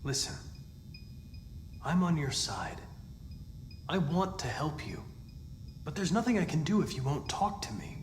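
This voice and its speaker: An actor playing a composed, analytical android investigator in a video game, speaking in a precise, formal, persistent way. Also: male voice, fairly high pitch, big pitch swings, a little slow, long pauses, slight background noise. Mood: reassuring, urgent. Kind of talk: video game cinematic acting. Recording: echoey room, music underneath.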